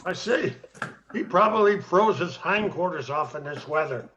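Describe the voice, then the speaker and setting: A man's voice: on the high side, expressive, normal speed, fairly bright tone, highs cut off, a little hiss or hum. A man playing a jittery, hapless suspect who is constantly on edge in a comic noir radio play. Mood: defensive.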